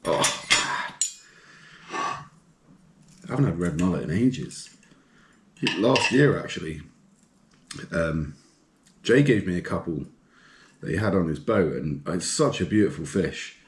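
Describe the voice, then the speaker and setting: A man's voice: low-pitched, slow, long pauses. A man with a blocked nose talks casually and enthusiastically as he cooks in his home kitchen. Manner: relaxed, enthusiastic about food, talks while cooking. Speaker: fishing and cooking vlogger. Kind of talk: casual cooking commentary. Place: home kitchen with sizzling pan. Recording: echoey kitchen with frying noise.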